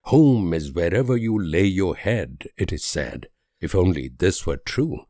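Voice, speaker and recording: An actor playing a grave, idealistic and world-weary political leader in a strategy game, speaking in measured, solemn oratory with dramatic pauses. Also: male voice, deep voice, lively intonation, dark tone, dry recording, studio quality. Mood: bleak.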